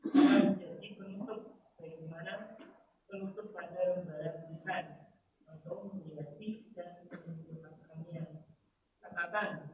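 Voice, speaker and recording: A man reading numbered points from a religious commentary aloud in a steady, formal way. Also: high-pitched. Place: mosque study gathering. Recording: distant, very echoey, dull lo-fi with noise.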